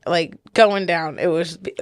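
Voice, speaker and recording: A woman's voice: lowish voice, fairly even intonation, measured pace, no echo, clean studio sound. A woman co-hosting a casual podcast with her partner, chatty and giggly, sounding slightly stuffy from blocked sinuses. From big city. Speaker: podcast co-host. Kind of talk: casual chat.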